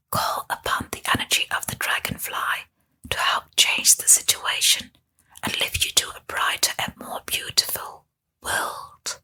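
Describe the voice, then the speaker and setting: Woman, breathy whisper, very slow pace, scripted spiritual ASMR narration, deliberate phrasing. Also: pushed and bright.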